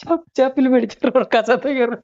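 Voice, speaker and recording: A man's voice: extremely high pitch, steady tone, fast, a little mellow. A man with a North Karnataka accent on a phone call, needling and scolding as he repeats his questions in casual banter. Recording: noisy, band-limited phone call recording.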